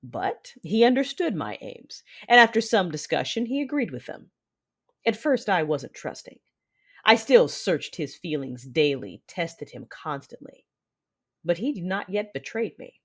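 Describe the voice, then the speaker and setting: A woman as an expressive, imperious dark warlord. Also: low-pitched, full character voice. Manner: measured, imperious. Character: commanding, calculating dark-side warlord mother in armor. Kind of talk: first-person dramatic narration.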